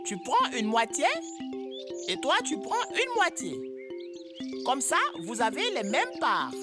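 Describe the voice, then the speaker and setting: A woman's voice: sits high, wildly swooping pitch, slow, exaggerated voice. A woman voicing a bossy but patient older girl in an educational cartoon, explaining with emphasis. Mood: firm. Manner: instructive, emphatic. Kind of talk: French-language educational cartoon dub. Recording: music behind the voice.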